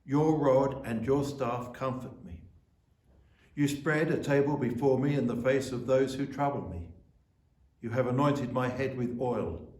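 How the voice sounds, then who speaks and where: Male church officiant, mid-pitched, deliberate and measured sermon delivery, noticeably roomy sound. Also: darkish tone. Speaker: church officiant giving a reflection. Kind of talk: sermon with scripture reading and prayer.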